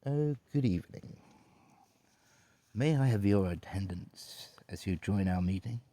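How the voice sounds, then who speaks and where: Man putting on a posh, low-voiced English butler. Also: animated, unhurried, dark tone. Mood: courteous. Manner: formal, polite, servile. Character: posh, courteous British butler named Grim, gently devoted.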